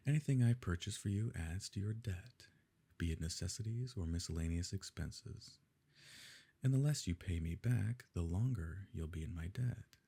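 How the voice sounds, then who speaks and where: A man playing a possessive evil nobleman in an ASMR romance roleplay, speaking in a measured, formal, quietly coercive way with a husky, gravelly voice. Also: sits low, lively intonation, heavily acted. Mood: smoothly coercive. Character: smooth, possessive evil nobleman courting a grieving beloved. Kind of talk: ASMR romance roleplay, scripted dramatic monologue.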